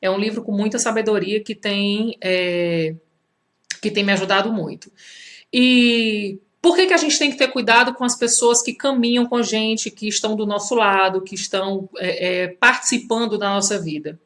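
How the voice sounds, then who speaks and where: Woman from fortaleza w/ cearense accent, coaching-style talk to camera, lots of restarts, mid pitch voice. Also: normal speed, fairly bright tone. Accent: Cearense Brazilian Portuguese. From Fortaleza, Brazil. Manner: conversational, rhetorical questions, many filler restarts. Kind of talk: teaching monologue to camera.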